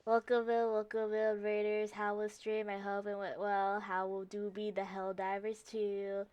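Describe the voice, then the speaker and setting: A woman's voice: mid-range voice, monotone, measured pace, a little mellow, highs cut off, slight background noise. A woman game streamer chatting casually and reacting on her livestream.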